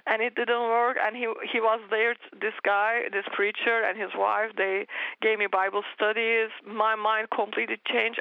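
Gravelly-voiced woman with a Turkish native tongue. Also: fairly high pitch, no echo, phone-quality sound. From Turkey. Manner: earnest storytelling with frequent restarts and laughs. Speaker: guest. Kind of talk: personal testimony interview.

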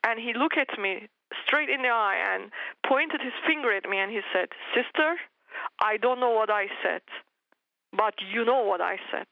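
A woman's voice: a little high, moderate pace, creaky, dry recording, lo-fi sound. A woman whose first language is Turkish, earnestly telling her personal faith story as an interview guest, restarting sentences often. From Turkey.

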